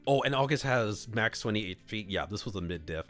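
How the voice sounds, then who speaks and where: A man with a low voice reads a visual novel aloud as a streamer. He moves between expressive storytelling and casual live commentary. Music plays softly behind him. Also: fairly quick. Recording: music under the voice. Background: background music.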